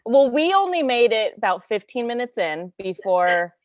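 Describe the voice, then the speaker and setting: A woman's voice: a little high, big pitch swings, normal speed, a little hiss or hum. A woman co-hosting a writing podcast in casual chat, talking loosely with many "like"s and laughing along. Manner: chatty, lots of "like" fillers, laughs along. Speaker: podcast co-host.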